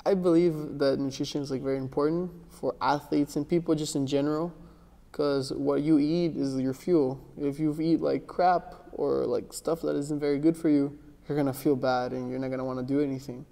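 Soft-spoken young man, gravelly and mellow. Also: a little high, normal speed. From born in Miami, raised in Ecuador and Texas. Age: young adult. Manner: soft-spoken, relaxed, with frequent "like" and restarts. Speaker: interview guest, jiu-jitsu athlete. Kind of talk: casual interview answers. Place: gym with large windows, indoors. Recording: long reverb from a large room.

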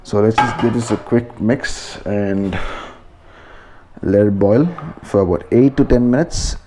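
Mellow-voiced Indian man narrating home cooking. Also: medium pitch, a little hiss or hum. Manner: informal step-by-step explaining. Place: home kitchen, indoors.

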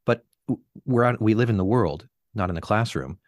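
A man's voice: fairly low pitch, animated, moderate pace, dry recording, clean studio sound. A man hosting an interview, posing long, winding philosophical questions with hesitant repeats. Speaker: podcast host.

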